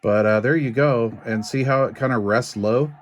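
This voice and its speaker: A man with an average-pitched voice talks through hands-on repair work. He is informal and folksy, thinking aloud as he goes. His delivery is halting and casual, like an unscripted demonstration. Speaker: radio repair technician and video host. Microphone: handheld camera while working.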